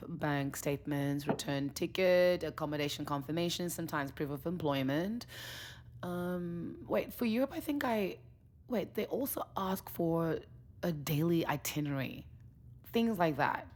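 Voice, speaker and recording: A woman's voice: deep voice, animated, measured pace, a little mellow. A woman playing a curious, incredulous, wry young woman on a date in a naturalistic audio drama, reacting with surprise and dry asides. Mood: explaining. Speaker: lead actor in a fiction podcast.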